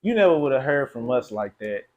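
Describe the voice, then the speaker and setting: A man hosts a podcast interview in an easy, slangy way. His voice sits at an ordinary mid pitch for a man, and his questions come out relaxed and informal. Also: fairly quick, phone-quality sound. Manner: laid-back, slangy questions. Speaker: podcast host. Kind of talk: casual interview.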